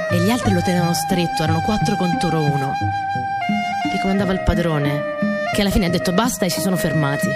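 A woman's voice: mid-range voice, close to an everyday voice. An actress playing a young peasant girl in a radio monologue, telling her story in a naive, colloquial way, repeating phrases for emphasis. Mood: grave, confiding. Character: young Italian peasant girl, earnest, plainspoken, stubborn storyteller. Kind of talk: dramatic monologue in a radio play. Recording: music behind the voice.

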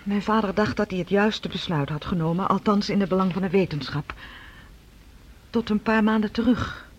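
An actress playing the earnest, formal adult daughter of a scientist in a radio drama, speaking persuasively. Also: female voice, medium pitch, moderate pace, slightly rough, lightly acted. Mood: earnest, defensive. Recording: dull, lo-fi radio recording.

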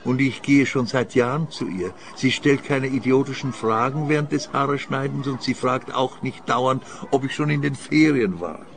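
Male actor, elderly grumbler, defensive, middling pitch, radio play, faint background noise. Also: normal speed, vocal fry, hardly any pauses, lightly acted. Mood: excited. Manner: grumpy, defensive. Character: grumbling, defensive old man wanted by police.

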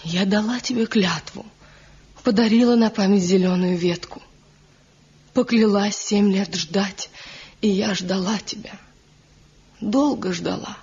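A torn, sorrowful village wife. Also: female voice, medium pitch, unhurried, pauses often, darkish tone, slightly rough. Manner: earnest, sorrowful, firm. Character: young village wife, torn between husband and old love. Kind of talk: 1970s radio play acting. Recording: dull lo-fi old radio recording.

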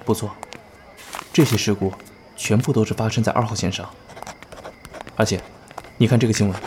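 A man playing a composed, attentive young player in a horror audio drama, calm, measured and serious. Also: on the low side, a little hiss or hum, close to an everyday voice. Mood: serious, informative.

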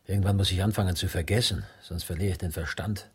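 An actor playing a weary, anxious, arrogant man drawn in as a reluctant accomplice, in naturalistic radio drama dialogue with a husky, rough voice. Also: low voice, fairly even intonation, fast talker. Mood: defensive, strained.

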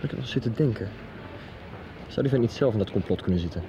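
Actor as sober male searcher, low voice, questioning, matter-of-fact, radio soap, noisy ambience. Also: lively intonation, fast talker, lo-fi sound, natural voice. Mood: sceptical. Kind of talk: 1990s radio drama acting. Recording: clearly noisy background ambience.

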